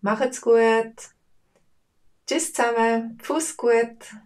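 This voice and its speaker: A woman's voice: fairly high pitch, very slow. Swiss German woman, casual teacher, rambling with fillers, slightly distant mic. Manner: relaxed, chatty, with many fillers. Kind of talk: casual spoken lesson.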